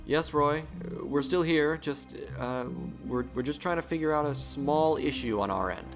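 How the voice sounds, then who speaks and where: A man's voice: a little high, normal speed, over music, lightly acted. A man playing an anxious research scientist in an audio drama, hesitant, urgent and stammering. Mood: flustered.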